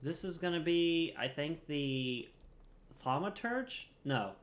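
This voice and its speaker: A man's voice: on the high side, lively intonation, takes it slowly. A man giving casual, rambling livestream commentary over a game in a playful, chatty way. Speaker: game streamer.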